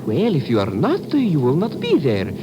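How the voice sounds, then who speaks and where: An actor in an old radio drama playing an ingratiating, sly Middle Eastern curio dealer with flowery, hesitant, overly humble speech. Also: male voice, huge pitch swings, moderate pace, over music. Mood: sly, unctuous. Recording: noisy vintage radio recording, engine rumble.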